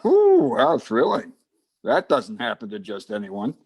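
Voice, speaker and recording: Guy doing a wisecracking old radio cop, husky, wildly up and down, teasing and hammy. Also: mid-range voice, huge pitch swings, unhurried, a little mellow, exaggerated voice. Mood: sarcastic banter. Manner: bantering, mock-dramatic. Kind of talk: old-time radio detective acting.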